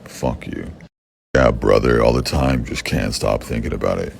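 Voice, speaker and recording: A man in his twenties with a very deep, raspy voice, talking bluntly and swearing as he answers fan questions in a casual chat. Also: moderate pace, a little hiss or hum. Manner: blunt, swears a lot, low-key and mumbly.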